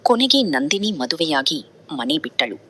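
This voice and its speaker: Woman narrator for moral tales: very low register, bright, animated. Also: normal speed, slightly rough. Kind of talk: scripted moral story narration.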